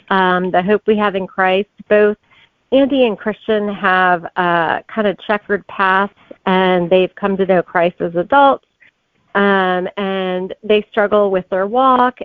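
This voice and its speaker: A woman's voice: mid-range voice, dry recording, phone-quality sound. A woman answering conversationally as a guest author in an interview.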